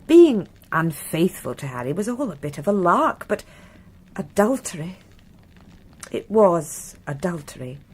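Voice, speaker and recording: An actress playing a frank, wistful married British woman in a radio crime drama, with a breathy voice. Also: fairly low pitch, a little mellow.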